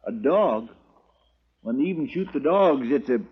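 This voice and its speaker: An actor playing a loyal, deferential frontier deputy with a folksy rural American drawl in a 1950s radio western. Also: male voice, medium pitch, animated, unhurried, slightly rough. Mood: uneasy. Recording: thin, phone-like old radio recording.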